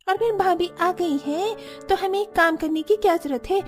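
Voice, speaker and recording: Woman acting whiny, very high-pitched bratty young sister-in-law. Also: normal speed, soft background music. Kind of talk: Hindi cartoon dialogue acting.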